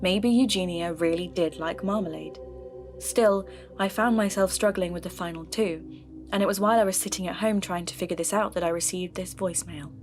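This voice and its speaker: An actress playing a curious, determined podcast investigator, narrating her case in a thoughtful, deliberate way. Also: lowish voice, faint music behind, close to an everyday voice. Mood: reflective, intrigued. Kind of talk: scripted podcast narration, measured and reflective.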